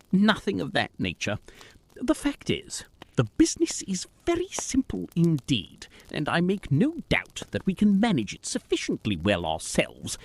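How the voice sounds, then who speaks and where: A woman playing a deliberate, inquisitive character in a detective audio drama. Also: mid-range voice, slightly rough, heavily acted.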